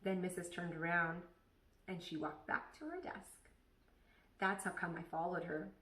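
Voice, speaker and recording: A woman's voice: fairly low pitch, steady tone, unhurried, fairly bright tone, distant mic. A woman narrating a children's story expressively, like a teacher reading aloud to pupils. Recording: echoey room.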